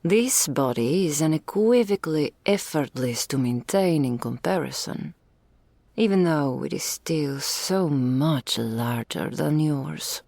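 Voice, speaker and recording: A woman's voice: sits low, lively intonation, slow pace, gravelly, dark tone, dry recording, full character voice. A woman voicing a doting, regal female dragon in human form, speaking intimately to the listener in ornate, archaic phrases as an ASMR roleplay. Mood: proud, amused.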